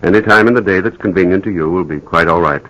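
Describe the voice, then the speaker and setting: An actor playing a grave, courteous physician in a 1940s radio drama, speaking in a measured, careful and slightly hesitant manner. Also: male voice, deep voice, fairly bright tone, lo-fi sound. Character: grave, courteous male physician breaking bad news. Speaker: doctor. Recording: old radio drama broadcast.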